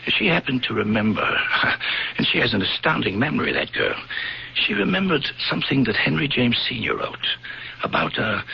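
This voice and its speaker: Earnest, gravelly, coaxing radio-drama friend. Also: male voice, medium pitch, exaggerated voice. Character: earnest, gently coaxing male friend who narrates the tale. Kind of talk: old-time radio drama acting and narration. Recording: dull, faintly noisy lo-fi radio recording.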